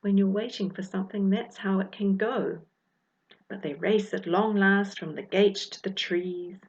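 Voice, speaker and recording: A woman's voice: mid-range voice, steady tone, measured pace, dull audio. A woman with a New Zealand accent reading a rhyming children's story expressively, as its author. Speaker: author reading her own book.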